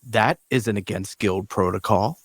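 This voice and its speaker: A man playing a charming, smooth-talking leader of adventurers, flattering and persuasive, in a fantasy audio drama table read. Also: lowish voice, a little slow, no room sound. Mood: smooth, reassuring. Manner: flattering, theatrically polite, persuasive.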